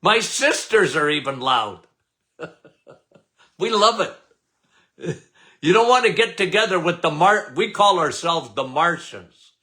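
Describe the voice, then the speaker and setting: An older man from Canada hosting a podcast, loud and emphatic, with a habit of repeating "okay?". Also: fairly high pitch, lively intonation, takes it slowly, pauses often. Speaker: podcast host. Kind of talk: casual live talk.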